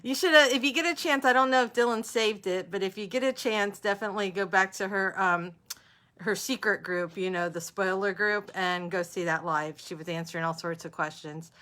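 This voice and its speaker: A woman's voice: mid-range voice, brisk, sharp tone. A woman hosting an author interview livestream, chatting casually and informally with viewers as she goes.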